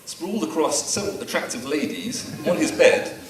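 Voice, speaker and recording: A man's voice: a little high, lively intonation, normal speed, background noise. Best man teasing, echoey room. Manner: dry, teasing humour read from a prepared speech. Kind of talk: wedding speech. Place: wedding reception room. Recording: echoey room taped from far off.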